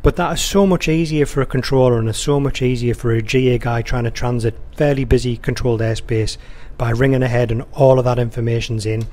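A man's voice: mid-range voice, fairly even intonation, brisk, hardly any pauses, a little mellow. A man who works as an air traffic controller, giving an informal, conversational instructional walkthrough sprinkled with radio phraseology. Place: indoor control room or workstation, beside a touchscreen.

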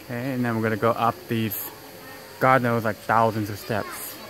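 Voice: mid-range voice, fairly even intonation, normal speed, fairly bright tone. A travel vlogger narrating casually in short, exclamatory remarks into a handheld device. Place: outdoors on a mountain temple stairway and summit. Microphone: handheld phone or camera held by speaker. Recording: noisy outdoor handheld recording, insect ambience.

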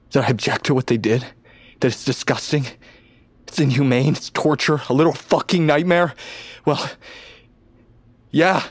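A man's voice: mid-range voice, no echo, natural voice. An actor playing a haunted, bitter young man in an audio drama, terse, guarded and brooding. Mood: angry, bitter. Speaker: narrator and lead character. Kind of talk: audio drama acting and first-person narration.

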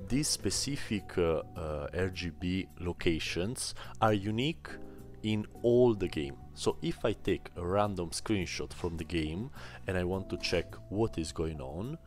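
A man explaining his bot software in a screen-recorded tutorial, speaking haltingly with many fillers. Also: low-pitched. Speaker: bot developer demonstrating software.

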